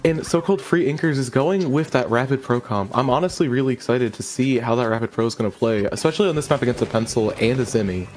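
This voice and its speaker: A man's voice: medium pitch, fast talker, few pauses, a little mellow, soft background music. A man hosting and commentating a video game tournament in an upbeat, eager way. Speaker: commentator, show host. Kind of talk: esports commentary.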